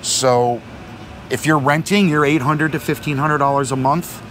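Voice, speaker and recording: A middle-aged man explains things in a loose, rambling way, doubling back on words. He sits outdoors at a marina, picked up by a camera a few meters off. Clear outdoor noise runs under his voice. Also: a little high, fairly even intonation, normal speed, fairly bright tone. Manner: talkative, repeats words, many fillers. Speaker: vlog co-host. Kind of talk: casual explanatory chat. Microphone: fixed camera at a few meters.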